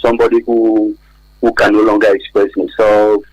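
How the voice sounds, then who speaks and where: Low, husky Nigerian man, halting speech. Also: little pitch movement, a little mellow, dry recording, low-quality recording. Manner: hesitant, halting, explanatory. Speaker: expert guest on a radio programme. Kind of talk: radio interview answers. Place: radio studio.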